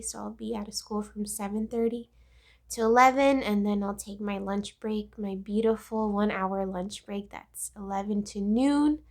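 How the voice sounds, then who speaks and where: A woman's voice: medium pitch, darkish tone. An American woman talks in a chatty, informal way, as if catching up with her followers. Her voice is stuffed up from a cold. She sounds like a vlogger casually walking viewers through her working life. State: congested from a cold. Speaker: vlogger, pre-K instructional coach. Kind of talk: casual vlog update.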